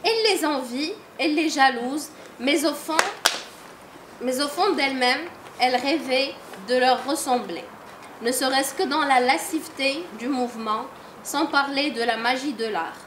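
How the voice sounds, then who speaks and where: A woman in her forties reading a prepared literary review aloud from her phone in a measured way, in a salon full of listeners. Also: high voice, fairly bright tone, echoey room, a little hiss or hum. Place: large salon room with audience.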